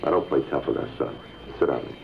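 An actor playing a stern police sergeant, asking curt, flat, matter-of-fact questions in an old radio crime drama. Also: male voice, extremely low pitch, fairly quick, creaky, background noise, exaggerated voice. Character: stern clipped adult male police sergeant. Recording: tinny old radio broadcast.

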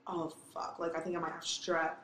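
A raspy-voiced woman in her twenties chatting candidly and telling a personal story. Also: low-pitched, completely flat delivery, moderate pace, a bit bright. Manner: candid storytelling, swears freely. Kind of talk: casual chat. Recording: echoey room.

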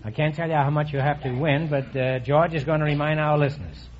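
A man hosting a quiz show, cracking quick puns and teasing his contestants. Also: a little high, fairly even intonation. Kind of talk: joking quiz show banter. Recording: dull old radio broadcast with hiss.

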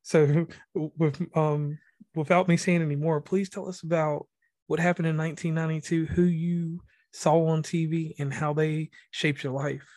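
A man's voice: a little high, flat delivery, normal speed, a little mellow, dry recording. A man hosting a casual podcast interview, rambling a little and restarting his sentences. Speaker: podcast host.

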